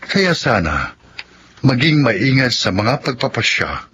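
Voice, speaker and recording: A man's voice: mid-range voice, very animated, slow pace, a little hiss or hum. A man narrating as a radio drama announcer, reading scripted lines in a formal, declamatory way. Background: loud music.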